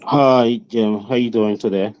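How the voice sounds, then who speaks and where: A man's voice: medium pitch, fairly even intonation, measured pace, noisy background. A man calling in to a show, politely and somewhat hesitantly asking a question. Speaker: caller. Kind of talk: polite question over a call. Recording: dull lo-fi call audio, dry.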